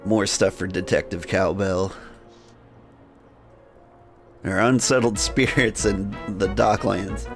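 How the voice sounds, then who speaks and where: A man hosting a game livestream, switching between jokey, rambling chat and reading game text aloud in a gravelly voice. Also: fairly low pitch, steady tone, measured pace. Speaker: game streamer and host. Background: music.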